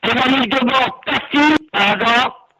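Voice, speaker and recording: A man's voice: very high-pitched, normal speed, a crowd in the background. A man arguing heatedly on a phone call, indignant and threatening, repeating himself. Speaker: prank call target.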